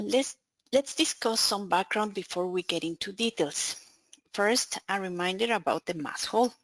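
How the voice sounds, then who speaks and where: A woman with a Spanish accent, an account manager presenting a scripted webinar in a careful, steady way. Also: mid-range voice, no room sound, poor audio.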